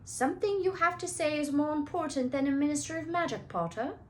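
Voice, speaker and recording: A woman's voice: high voice, brisk, slight background noise, pitched above the performer's own voice, lightly acted. A woman voicing a stern, haughty woman professor with a clipped, disapproving manner in a children's book read-aloud. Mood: cold.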